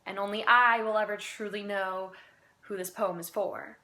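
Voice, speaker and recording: A woman amateur poet recites spoken-word slam poetry in an earnest, deliberate manner with dramatic pauses. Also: mid-range voice, steady tone, bright, forceful tone.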